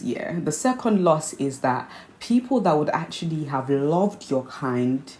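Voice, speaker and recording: A woman's voice: sits low, dark tone. A young woman vlogger from Nigeria with a hybrid Nigerian accent, chatting earnestly to camera and explaining her points by repeating them. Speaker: vlogger host. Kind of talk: casual chat to camera. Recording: echoey room with faint ambience.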